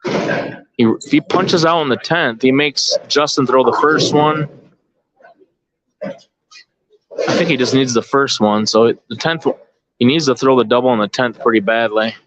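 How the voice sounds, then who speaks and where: A man's voice: medium pitch, a little slow, pauses often, fairly bright tone, a little hiss or hum. A man giving casual, off-the-cuff live sports commentary, informally thinking aloud as he follows the play. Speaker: bowling tournament commentator and organizer. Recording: dull, lo-fi live stream audio.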